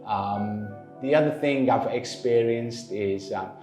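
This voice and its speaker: A man from northern England with a Manchester accent, answering interview questions in a measured way with frequent pauses. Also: medium pitch, roomy sound, over music. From northern England, Manchester area. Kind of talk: explaining in an interview.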